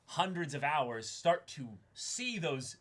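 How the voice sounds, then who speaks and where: A man's voice: fairly high pitch, sing-song, slow, fairly bright tone. A man who hosts an online reaction channel, talking casually and unscripted in a reflective, explanatory way.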